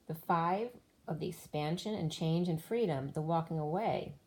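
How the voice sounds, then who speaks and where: A woman's voice: low voice, normal speed, darkish tone, poor audio. A woman giving a solo spiritual tarot reading, explaining cards and numbers one by one while losing her voice. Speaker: tarot reader.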